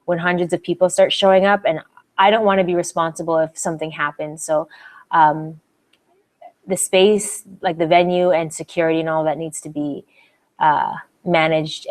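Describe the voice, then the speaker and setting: A woman's voice: lowish voice, fairly even intonation, moderate pace. A young woman from Canada hosting a live Q&A stream, chatty and bubbly, giggling often and restarting her sentences as she answers viewer comments.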